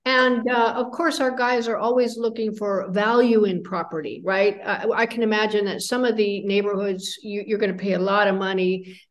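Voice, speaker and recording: A woman's voice: mid-range voice, fairly even intonation, normal speed. A middle-aged woman hosting a travel channel, interviewing a guest on a video call in an upbeat, enthusiastic way. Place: indoor room. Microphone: laptop camera on video call.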